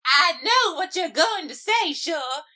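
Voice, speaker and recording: A woman's voice: very high-pitched, animated, slow, bright, forceful tone, exaggerated voice. A woman voicing an imperious, self-satisfied undersea ruler in a cartoon comic dub, grandiose and dismissive. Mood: scornful. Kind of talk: animated comic dub villain acting.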